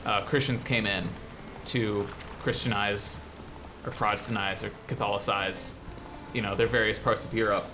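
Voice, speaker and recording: A man's voice: fairly low pitch, steady tone, moderate pace, background noise. An American man from the Midwest hosting casual game commentary, rambling and explanatory with an enthusiastic manner. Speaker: show host, 3D artist.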